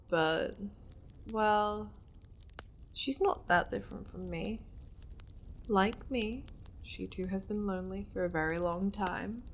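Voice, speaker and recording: A woman voicing a seductive, possessive demon lady in an ASMR roleplay, languid and teasing, slipping into breathy half-whispers. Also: mid-range voice. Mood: soft, wistful. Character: seductive, possessive powerful demon lady doting on her pet.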